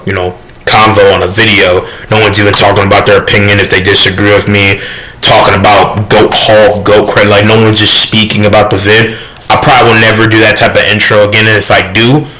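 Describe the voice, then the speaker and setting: A soft-toned young man rambling defensively in a casual vlog rant, full of fillers. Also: lowish voice, slight background noise, poor audio. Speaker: YouTuber.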